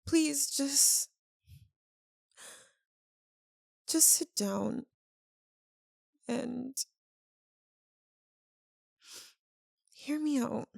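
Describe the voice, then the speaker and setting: A woman playing an anguished, lovesick vampire in an intimate ASMR roleplay, speaking softly and haltingly with emotion. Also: high voice, creaky, no echo, studio quality, exaggerated voice. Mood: pleading. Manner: halting, hushed, emotional delivery with long pauses.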